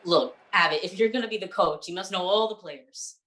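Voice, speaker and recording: A woman playing a confused, questioning male comic sidekick in a 1940s radio comedy routine, speaking haltingly and with exasperation. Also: on the low side, a bit bright, lightly acted. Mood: curious.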